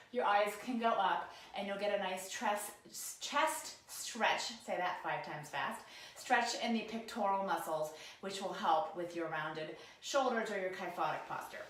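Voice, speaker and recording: A woman with a cold-roughened voice, casually talking viewers through stretches at a work desk while she demonstrates them. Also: a little high, very echoey. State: hoarse from a cold. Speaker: posture and ergonomics instructor. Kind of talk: guided stretching lesson, talking to camera. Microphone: camera mic, sometimes turned away.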